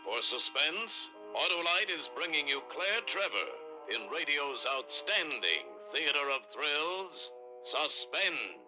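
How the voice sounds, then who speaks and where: A man announces an old radio thriller with grand drama. He speaks slowly, with a lively swing in pitch. Loud music plays behind him on the vintage broadcast. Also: low-quality recording. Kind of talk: 1950s radio suspense announcing.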